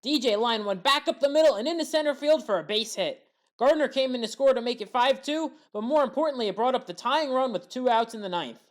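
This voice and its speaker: A woman giving scripted baseball highlight commentary as an opinionated, partisan fan. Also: lively intonation, a little fast. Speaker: sports commentator.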